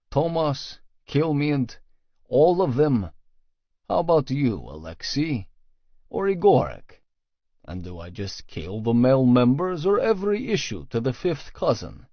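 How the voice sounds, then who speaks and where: A man playing a cold, calculating male Russian crime boss in an audiobook, measured and menacing. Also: mid-range voice, very animated, no echo. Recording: dry, slightly dull studio sound.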